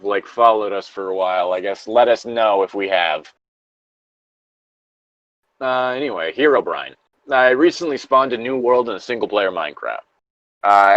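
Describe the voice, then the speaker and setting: A man's voice: lowish voice, fairly bright tone, no room sound, lo-fi sound. A man co-hosting a story-reading show, switching between informal, sweary banter and reading horror stories aloud. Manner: informal, profane.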